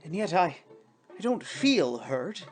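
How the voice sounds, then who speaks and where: A woman voicing a fussy, bewildered, stammering gentleman ghost in a storybook reading. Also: fairly low pitch, big pitch swings, slow pace, breathy edge, soft background music, heavily acted. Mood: puzzled, reasoning. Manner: stammering, flustered, prone to exclaim. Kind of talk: theatrical storybook character voice.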